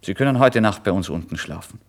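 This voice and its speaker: A man voicing a curt, money-minded landlady in a literary story, speaking briskly and insistently. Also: low-pitched, lively intonation, gravelly. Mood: brisk demanding.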